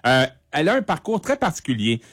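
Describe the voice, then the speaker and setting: A middle-aged man with a Quebec French accent, presenting a music segment on radio in a conversational, halting, informative way. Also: fairly high pitch, big pitch swings, fairly bright tone, dry recording, clean studio sound.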